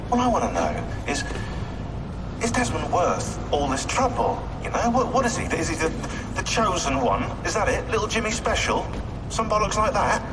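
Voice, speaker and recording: An actor playing an agitated, cynical modern-day assassin in a video game, jittery and sardonic. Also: male voice, sits high, very animated, moderate pace. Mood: sarcastic. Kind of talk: naturalistic video game acting. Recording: distant, long reverberant hall, noisy.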